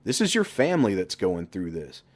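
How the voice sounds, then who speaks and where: A man's voice: low voice, wildly swooping pitch, normal speed, exaggerated voice. A man voicing a brash middle-aged best friend who lectures and needles bluntly in an audiobook. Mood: mocking, scolding. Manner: blunt, emphatic, sweary banter.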